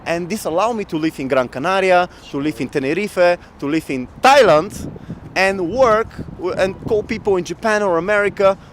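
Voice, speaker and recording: A man in his thirties from Bulgaria telling his work story in a casual outdoor interview, animated and laughing often, into a handheld mic. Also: high-pitched, moderate pace, a little hiss or hum. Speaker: guest entrepreneur. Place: outdoor city square in winter. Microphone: handheld mic shared, camera close.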